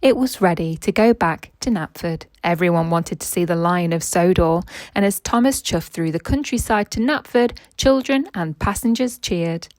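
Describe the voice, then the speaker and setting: A woman's voice: on the low side, normal speed, hardly any pauses, no echo. A woman reading a children's story aloud as narrator and quiz host.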